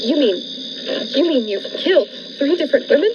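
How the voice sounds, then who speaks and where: A trapped, fearful wife played by a woman in an old radio thriller, with a very high voice and theatrical, anxious delivery. The audio is dull and lightly noisy. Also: lively intonation, fairly quick. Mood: frightened. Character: frightened, trapped wife writing a despairing diary. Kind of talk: 1940s radio thriller acting. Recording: dull lo-fi radio-play audio, faint noise.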